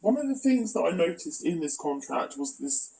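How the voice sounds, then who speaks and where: A man's voice: a little high, big pitch swings, moderate pace, creaky, mellow, slight background noise, poor audio. A man giving a critical, matter-of-fact spoken commentary, presenting evidence as in an online exposé.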